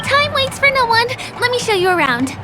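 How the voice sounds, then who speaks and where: A woman's voice: extremely high pitch, big pitch swings, moderate pace. A woman voicing a cheerful, eager young tour guide in a video game, chatty and friendly as she shows someone around. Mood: upbeat. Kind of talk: video game character voice acting. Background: game music.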